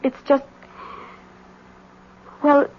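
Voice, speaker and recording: An actress playing a troubled, enigmatic American wife in a 1970s radio drama, apologetic and evasive. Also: female voice, very slow. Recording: muffled old radio recording.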